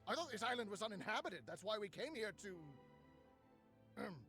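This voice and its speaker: A man's voice: high voice, lively intonation, a little fast, pushed and bright, faint music behind. A man voicing an irritable, defensive inventor in a video game, curt and grudging. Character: irritable, defensive male inventor, grudgingly cooperative villain henchman.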